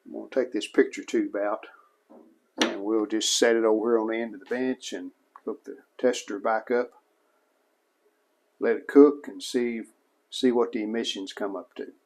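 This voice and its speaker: A man giving casual hands-on narration of a repair as a hobbyist restorer, plain-spoken and unhurried, thinking aloud as he works. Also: lowish voice, fairly even intonation, darkish tone.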